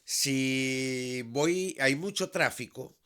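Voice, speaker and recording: A man's voice: medium pitch, fairly bright tone, clean tone. Earnest Venezuelan man in his fifties. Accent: Venezuelan Spanish. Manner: measured and earnest, with frequent restarts. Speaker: vlog host, high school teacher. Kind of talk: reflective solo monologue.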